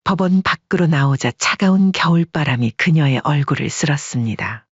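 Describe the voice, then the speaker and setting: A narrator reading a story in an even, measured way as an audiobook. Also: mid-range voice, breathy edge, dry recording, lo-fi sound.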